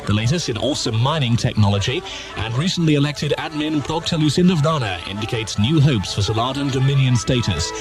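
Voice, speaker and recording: A man's voice: medium pitch, expressive, fairly quick, music in the background, close to an everyday voice. A man playing a polished news announcer, reading a scripted bulletin in an audio drama. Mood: brisk, promotional.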